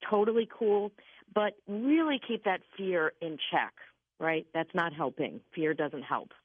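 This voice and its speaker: Female call-in show medium, slightly low voice, emphatic and reassuring, heard over a narrow phone connection. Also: a little slow. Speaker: guest spirit medium on a radio call-in show. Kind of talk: conversational psychic reading.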